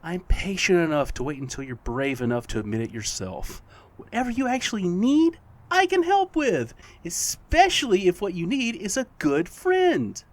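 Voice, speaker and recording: An actor in an audio drama playing a playful, needling supernatural being who poses as a best friend, with theatrical, drawn-out teasing. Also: medium pitch, wildly swooping pitch. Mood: needling, coaxing.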